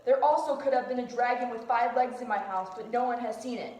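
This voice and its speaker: A woman's voice: fairly high pitch, a little fast, darkish tone, close to an everyday voice. A woman playing a defiant husband who argues sardonically for his accused wife in an amateur puppet-show drama. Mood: argumentative. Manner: argumentative, sardonic. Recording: echoey room.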